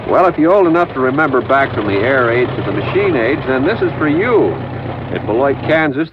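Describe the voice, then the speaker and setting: An American man reporting a feature in folksy, scripted 1950s newsreel narration. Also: medium pitch. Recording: dull 1950s broadcast with faint music.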